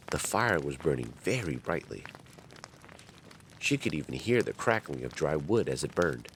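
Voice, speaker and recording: A man with a deep, low voice narrates a fairy tale. His delivery is dramatic and richly varied in pitch, with faint ambient noise behind him.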